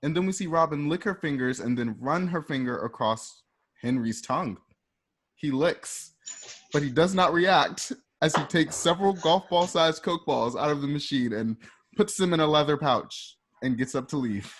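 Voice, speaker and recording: A man's voice: a little high, moderate pace, a bit bright. A man narrating a radio drama, reading out scene directions step by step in the present tense.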